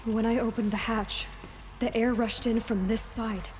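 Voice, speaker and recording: An actress playing an earnest, uneasy but determined explorer in a video game, speaking directly. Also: female voice, fairly high pitch, level tone, a little slow, darkish tone, slight background noise, close to an everyday voice. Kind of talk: dramatic video game acting.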